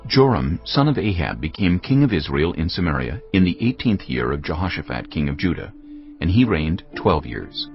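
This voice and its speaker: Very deep, gravelly male narrator. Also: sing-song, moderate pace. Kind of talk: scripted dramatic scripture narration. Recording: dull lo-fi sound, music underneath. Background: faint music.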